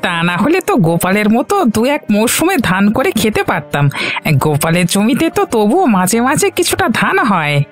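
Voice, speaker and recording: A man's voice: high-pitched, wildly swooping pitch, moderate pace, a bit bright, soft background music, heavily acted. A man voicing a poor, meek, forgetful farmer husband in a cartoon, speaking in a sheepish, placating way. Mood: resigned, mild. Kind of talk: Bengali children's cartoon dialogue.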